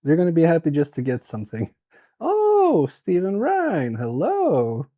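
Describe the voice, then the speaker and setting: Slow-talking Swedish-accented man. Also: on the high side, wildly swooping pitch, mellow. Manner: thinks aloud, mutters to himself while working. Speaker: repair live streamer. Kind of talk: casual live-stream commentary while repairing.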